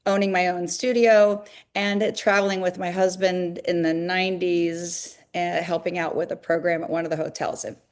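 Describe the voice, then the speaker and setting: A woman's voice: lowish voice, little pitch movement, moderate pace, hardly any pauses. A woman in her fifties, a fitness company founder, talking upbeat and at length in a webinar interview over a video call, with frequent fillers.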